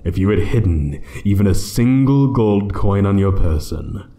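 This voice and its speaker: A man voicing an ancient, playful yet menacing dragon in a fantasy ASMR roleplay, speaking theatrically and condescendingly, sometimes hushed. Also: fairly low pitch, expressive, measured pace. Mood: cold, commanding. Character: ancient male dragon, playful, menacing hoard guardian toying with intruder.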